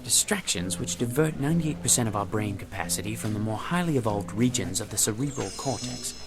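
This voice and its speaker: A man's voice: on the low side, animated, fairly quick. An actor playing a fussy, self-important male office worker with a pompous, bookish, enunciated way of talking in a television drama. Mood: smug. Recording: background music under dramatic dialogue.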